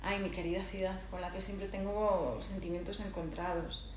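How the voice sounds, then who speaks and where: Middle-aged woman from Galicia, lowish voice, reflective interview guest who pauses to find words; roomy sound with some noise. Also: little pitch movement, a little mellow. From Galicia, Spain. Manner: thoughtful, hesitant, searching for words. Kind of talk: reflective personal conversation.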